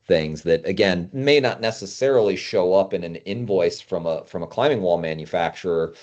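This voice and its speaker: Low-pitched man hosting, slightly muffled audio. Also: moderate pace, darkish tone. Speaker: podcast host. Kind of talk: casual conversational discussion. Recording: dull, lo-fi audio.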